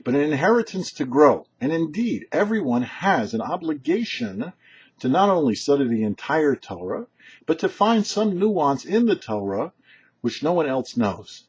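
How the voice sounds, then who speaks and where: A man's voice: a little high, sing-song, moderate pace, husky, lo-fi sound. A man speaking as a rabbi, giving a short explanatory Torah teaching with emphatic, didactic phrasing. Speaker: rabbi hosting a weekly Torah commentary show.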